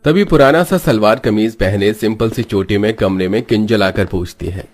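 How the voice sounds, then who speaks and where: A man's voice: fairly low pitch, moderate pace. A man narrating a scripted moral story.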